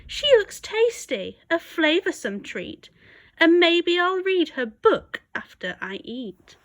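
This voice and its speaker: A woman voicing a sly, hungry male wolf from a storybook, gruff and scheming, with exclamatory delivery. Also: very high-pitched, big pitch swings, slow pace, voice pushed up, exaggerated voice.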